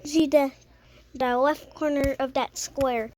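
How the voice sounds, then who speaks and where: An amateur announcer with a high voice play-acting a TV weather emergency broadcast, speaking haltingly with stumbles and self-corrections. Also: fairly even intonation, a little mellow.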